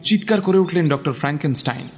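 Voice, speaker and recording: Male narrator for horror audiostory, slightly high voice, dramatic deliberate phrasing, long reverb. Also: animated. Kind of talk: dramatic scripted story narration. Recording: long reverb, music underneath.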